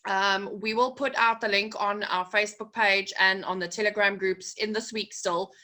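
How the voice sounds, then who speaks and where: A woman's voice: mid-range voice, steady tone, normal speed, bright, forceful tone. A woman hosting a team video call, bubbly and enthusiastic. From South Africa. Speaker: host of a corporate call. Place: guest house room.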